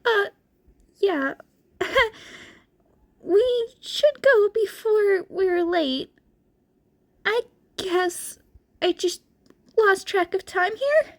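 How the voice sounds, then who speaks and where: A very high-pitched, nervous teenage boy, acted out by a woman in an audio story. He is sweet and nerdy, stammers as he talks and gets flustered easily, with breathy exclamations. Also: animated, drawn-out words, pauses often, exaggerated voice. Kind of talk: character dialogue in a fan fiction reading.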